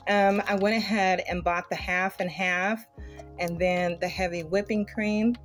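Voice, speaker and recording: A woman's voice: medium pitch, steady tone, measured pace. A woman vlogger in her home kitchen, casually and informally listing items to viewers as she shows them. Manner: informal, colloquial grammar, itemizing. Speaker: vlogger showing a grocery haul. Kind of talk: casual chat to viewers, product show-and-tell. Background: music.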